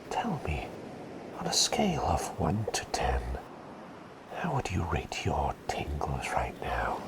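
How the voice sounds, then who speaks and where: A man playing a gentle, forgetful, whimsical plague doctor in a soft ASMR roleplay, speaking in a breathy whisper with polite, hesitant rambling. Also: extremely low pitch, huge pitch swings, slow pace, very noisy, full character voice. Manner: rambling, polite, hesitant, repeats phrases softly.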